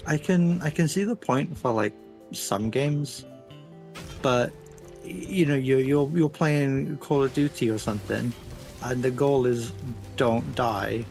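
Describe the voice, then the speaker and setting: A man's voice: mid-range voice, takes it slowly, gravelly, darkish tone, soft background music. A man in casual conversation with a streamer, hesitant, restarting his sentences.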